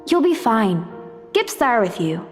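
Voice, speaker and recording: A woman's voice: on the high side, very animated, unhurried. An actress playing a bold, taunting schoolgirl heard as a phantom voice in a visual novel game. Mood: brash, protective. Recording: long reverb on the voice. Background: game music.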